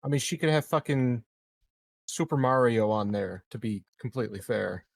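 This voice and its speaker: A man's voice: mid-range voice, animated, normal speed, vocal fry, no echo. A man playing a tabletop roleplaying game, chatting casually. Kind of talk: casual chat.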